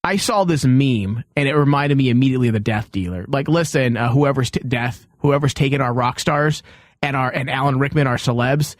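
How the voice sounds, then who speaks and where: A man hosting a talk show with casual, comedic banter, excitable and often restarting his sentences. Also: mid-range voice, fairly quick, dry recording, studio quality. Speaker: podcast host.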